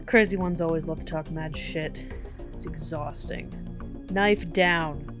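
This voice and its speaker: Actress as a tough female cop, low-pitched, unhurried, dry, commanding, foul-mouthed, audio drama. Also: over music. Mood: wry command.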